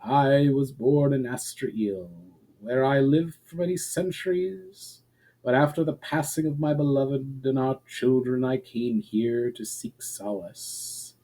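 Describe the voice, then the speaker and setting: A man voicing an ancient male elf border guard in a storybook reading, courteous, wistful and weary, with formal, measured speech. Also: medium pitch, steady tone, warm tone, poor audio. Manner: formal, measured, archaic phrasing.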